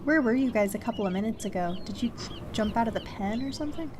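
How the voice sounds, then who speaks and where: A woman's voice: a little high, expressive, fast, background noise. A woman streaming a video game, chatting casually to viewers and muttering questions to herself as she thinks aloud. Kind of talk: casual live gameplay commentary, chatting to viewers. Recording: game sound effects behind voice. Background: bleating sheep and game ambience.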